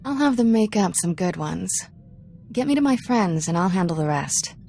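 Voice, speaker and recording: A streetwise young woman with a lowish voice. She is wry and casual in clipped phrases, like a game cutscene companion, with faint music behind. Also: expressive, normal speed, creaky, mellow. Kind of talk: video game cutscene acting.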